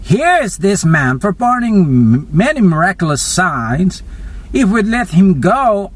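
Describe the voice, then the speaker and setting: A man's voice: sits high, sing-song, unhurried, pitched above the performer's own voice, full character voice. A man voicing an alarmed, scheming council priest in a Bible story, declaiming in agitation.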